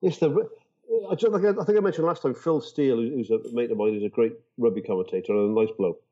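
A man with a soft, mellow tone talks like an opinionated bloke among mates. He is a guest on a casual sports podcast, and the audio is a little dull and muffled. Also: medium pitch, fast talker. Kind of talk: casual rugby chat.

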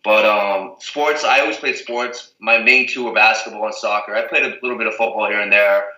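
A man's voice: fairly low pitch, fairly even intonation, fairly quick, pushed and bright, roomy sound, far from the mic, low-quality recording. A young man from the Azores, a reality TV guest, chatting casually and rambling with lots of "like" and "you know what I mean". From Portugal, the Azores. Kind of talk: casual interview chat.

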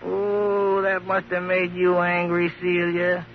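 A high, needling little dummy voice, sly and gleeful, mocking whoever it talks to. It is old radio acting, muffled and slightly hissy. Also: male voice, completely flat delivery, slow. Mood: sly, accusing. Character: sly taunting ventriloquist's dummy, mocking wisecracker. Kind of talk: 1950s radio drama acting.

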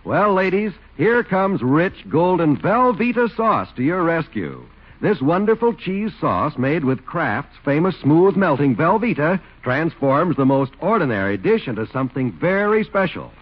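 A man's voice: fairly high pitch, sing-song, measured pace. A man announcing a scripted 1950s radio commercial with folksy, emphatic salesmanship. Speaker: announcer reading a sponsor's ad. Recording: dull old radio recording.

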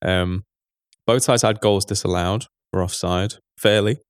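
A man hosting a casual football podcast, chatty and quick to correct himself. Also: on the low side, fairly even intonation, dry recording, studio quality. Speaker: podcast host.

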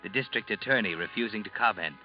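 Male actor as taunting suspense narrator for vintage radio: sly, ominous, dramatic, lowish pitch, dull sound. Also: fast, soft background music, close to an everyday voice. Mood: gloating, ominous. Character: sardonic, taunting male narrator addressing the heroine. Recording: muffled old radio recording.

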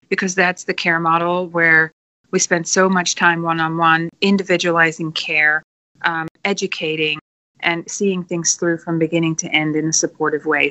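Bright, earnest woman from the Netherlands. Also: on the low side, no echo, poor audio. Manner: earnest, many ums. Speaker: guest. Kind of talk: podcast interview.

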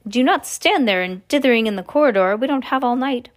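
A woman's voice: fairly low pitch, moderate pace, natural voice. An imperious storybook witch, as a woman reader puts her on. She is menacing and scornful, and she snaps out her words like commands. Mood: impatient, irritated. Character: imperious, snappish Grand High Witch, menacing villain.

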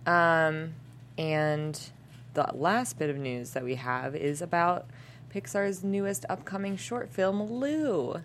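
Woman host, low register, chatty and giggly, faint background hum. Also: slow pace. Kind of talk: casual news chat.

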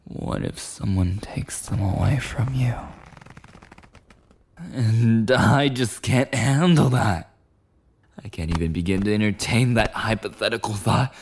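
Casting: male actor as obsessive, needy boyfriend for ASMR roleplay; low, gravelly voice, intimate rambling that drops into whispers. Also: measured pace. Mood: anxious, then frantic possessiveness. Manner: breathy rambling, often dropping into whispers. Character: clingy, obsessive, insecure possessive yandere boyfriend.

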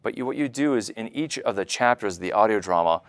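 A male podcast host talks conversationally in a low voice. He stresses his points by repeating words, and the sound is dry and close. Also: lively intonation, fast, no room sound. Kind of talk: conversational interview.

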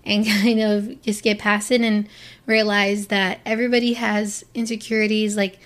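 A woman's voice: mid-range voice, little pitch movement, normal speed. A young woman from Florida talking casually to camera, rambling with lots of fillers and restarts as she opens up. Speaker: vlogger. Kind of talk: casual personal talk to camera.

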